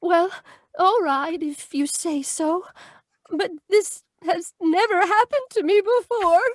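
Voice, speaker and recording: Woman acting a coy, high-voiced damsel schoolteacher. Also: no echo, clean studio sound. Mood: plaintive. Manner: coy, drawn-out. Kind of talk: old-time radio detective drama acting. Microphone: video call.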